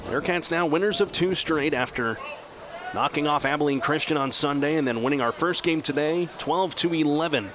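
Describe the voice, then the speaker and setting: Higher-pitched man calling baseball play-by-play. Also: normal speed, background noise. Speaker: radio play-by-play announcer.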